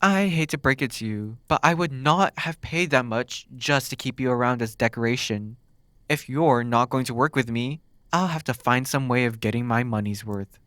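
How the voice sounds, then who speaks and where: A man voices a smug demon captor in an ASMR roleplay. He is flirty and teasing, musing with pauses at a middling pitch. The sound is dry and close to the mic. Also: a bit bright, no echo, close to an everyday voice. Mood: puzzled, frustrated.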